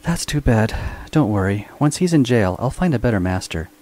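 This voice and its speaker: A man voicing a sharp-tongued woman bounty hunter with a blunt, mocking manner. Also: fairly low pitch, expressive, normal speed, voice pushed up, close to an everyday voice. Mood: brisk.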